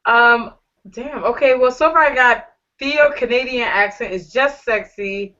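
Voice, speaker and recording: A woman's voice: on the high side, a little slow, bright, forceful tone. A woman hosting a casual online panel show, gushing and flirty as she thanks her guests. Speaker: talk show host. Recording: dull lo-fi audio.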